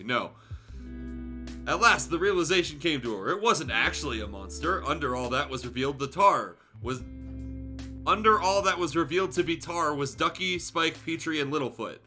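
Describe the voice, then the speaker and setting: A man with a very bright, fairly high voice reads aloud on a livestream. He slips between narration and joking asides in a sardonic, casually sweary way, with guitar music behind him. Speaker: streamer reading fan fiction aloud. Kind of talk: livestream read-aloud with joking off-the-cuff commentary.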